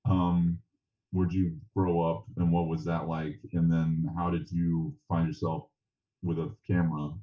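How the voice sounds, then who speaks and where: A man with a low voice conducts a casual, conversational interview. He feels his way into questions, often restarting them. The recording is dull and echoes with the room. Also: flat delivery, unhurried. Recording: dull roomy echoey sound.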